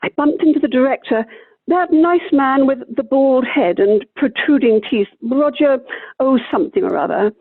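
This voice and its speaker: Woman acting a gushing, high-voiced society widow. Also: slightly rough. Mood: breezy, self-satisfied. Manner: affected, effusive, drawn-out darlings. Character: gushing, theatrical society widow, proud amateur actress. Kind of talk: amateur radio play acting. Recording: tinny, phone-like video call line.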